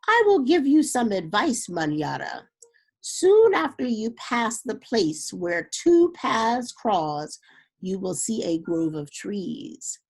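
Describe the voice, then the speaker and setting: A woman voicing an old woman in a storybook who gives warnings in a deliberate, solemn manner. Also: medium pitch, big pitch swings, husky, full character voice. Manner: deliberate, cautioning.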